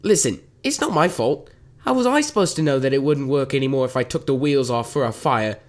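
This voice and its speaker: A woman's voice: very deep voice, lively intonation, darkish tone. A woman playing a dim-witted, easily confused carriage driver turned kidnapper in an amateur radio drama, with exaggerated delivery. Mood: defensive, baffled. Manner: exaggerated, slow on the uptake.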